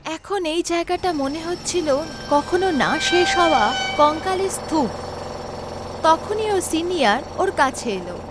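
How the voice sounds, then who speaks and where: A woman's voice: extremely high pitch, expressive, measured pace, noisy background. A woman narrating a scripted horror story as its storyteller. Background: loud background music.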